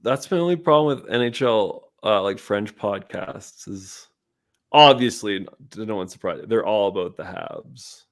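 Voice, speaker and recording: Male podcast co-host, easygoing chatter, rough low voice. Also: lively intonation, unhurried. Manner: laid-back, chuckles often. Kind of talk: casual chat.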